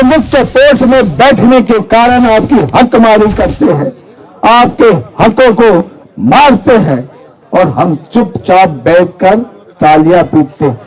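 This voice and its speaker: Very high-pitched man giving slow rally speech. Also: rough recording. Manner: forceful, declamatory, repetitive rhetorical questions. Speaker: Buddhist monk and activist speaker. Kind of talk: impassioned political rally speech. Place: stage at a public rally.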